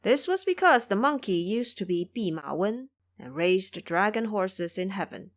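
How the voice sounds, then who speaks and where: A woman storyteller giving expressive, explanatory scripted narration of an audio drama. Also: lowish voice.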